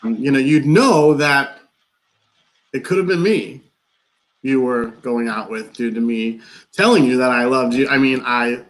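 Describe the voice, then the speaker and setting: A man in a live play reading, playing an awkward, nervous man who rambles through a confession in halting, over-explained dialogue. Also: mid-range voice, lightly acted, poor audio. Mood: earnest, flustered.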